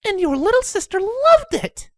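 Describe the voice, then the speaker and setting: A chirpy mother pegasus speaks far up in pitch. She gushes and pleads in a slightly flustered, animated storybook manner. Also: normal speed, no room sound, studio quality, heavily acted. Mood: cheerful. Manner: pleading, gushing.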